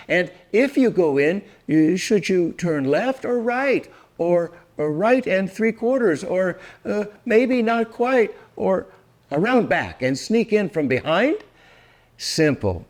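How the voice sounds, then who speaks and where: An older man performing a children's story aloud in a theatrical, deliberate, welcoming manner. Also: a little high, sing-song, darkish tone. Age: 60s or older. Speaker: storyteller and host.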